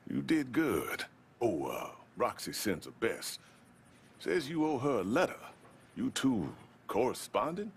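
An actor playing an easygoing activist ally in a video game, casual and teasing. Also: male voice, medium pitch, big pitch swings, measured pace, vocal fry, slight background noise. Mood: appreciative, teasing. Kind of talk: video game cutscene acting.

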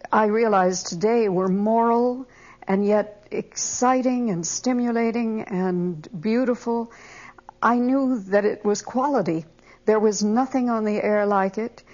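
An older woman, a veteran actress, reminiscing in an interview in a measured, earnest way. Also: mid-range voice, a little mellow. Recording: slightly muffled interview audio.

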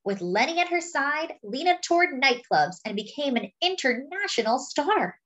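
A woman reading a picture book aloud, with expressive, dramatic phrasing. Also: high-pitched, normal speed, lo-fi sound. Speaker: storybook reader.

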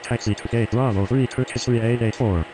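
Male pilot played by a man, clipped procedural radio talk with clear aircraft noise. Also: mid-range voice, fairly even intonation, a little fast, dark tone, lightly acted. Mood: matter-of-fact. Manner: clipped, formulaic phraseology. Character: male airline pilot making formal radio calls. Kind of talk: scripted air traffic radio calls.

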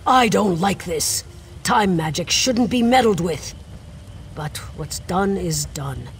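An actress playing a grave, worried mage investigator in a video game, serious and measured. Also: female voice, on the low side, lively intonation, slight background noise. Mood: wary, resolute.

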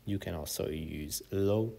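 A man narrating an instructional software tutorial as its presenter. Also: deep voice, unhurried, gravelly. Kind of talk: instructional screen-recording narration.

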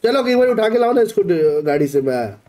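A man's voice: high voice, very animated, moderate pace, dark tone, slight background noise. A man, a brash, slangy gaming streamer chatting casually with his squad.

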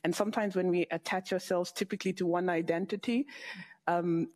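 Zambian-born woman, calm panel guest. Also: on the low side, brisk. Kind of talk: panel discussion. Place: studio stage with audience. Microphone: headset mic.

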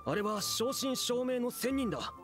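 A man's voice: extremely high pitch, animated, unhurried, over music. A man playing an earnest, gullible villager who is an awestruck believer, in anime game acting. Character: fervent male villager, gullible devoted believer.

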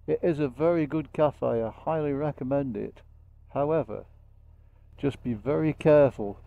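A man with a Lancashire accent giving casual, unhurried storytelling commentary as he rides a motorbike, speaking into a helmet mic. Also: mid-range voice, vocal fry, dark tone. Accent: Lancashire English. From Lancashire, England. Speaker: motorcycle vlogger. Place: outdoors on a moving motorbike. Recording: vehicle noise while riding.

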